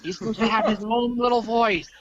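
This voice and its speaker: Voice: mid-range voice, steady tone, fairly quick, dull audio. A player on a tabletop podcast chatting, stammering and laughing while talking. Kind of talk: casual chat.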